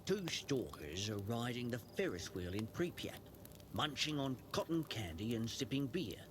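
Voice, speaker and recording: An actor playing a jovial stalker in a video game, telling jokes with theatrical delivery. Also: male voice, medium pitch, big pitch swings, moderate pace, noisy background, lightly acted. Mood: cheerful. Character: jovial campfire joker, a stalker cheering up comrades.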